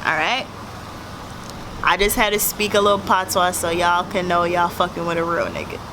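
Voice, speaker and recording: A young woman in her twenties with a Jamaican accent talks straight to camera, casual and candid, with plenty of swearing. Her voice sits a little low. Clear background noise and passing traffic run under the recording. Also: animated, moderate pace. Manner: casual, blunt and profane. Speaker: vlogger. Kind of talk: candid personal storytelling to camera.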